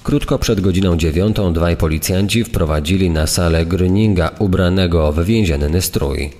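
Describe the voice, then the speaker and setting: A grave, low-pitched 1930s newspaper reporter, played by a man delivers lurid court news in formal, solemn phrases. The voice carries long reverb, as in a crime audio drama. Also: moderate pace, mellow, lightly acted. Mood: grave, sensational. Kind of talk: dramatised reading of press reports.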